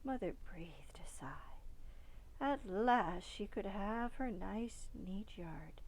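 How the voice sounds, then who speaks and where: A woman reading a children's storybook aloud expressively, with dramatic emphasis that softens at phrase ends. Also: medium pitch, animated, slow pace, poor audio. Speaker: storybook reader.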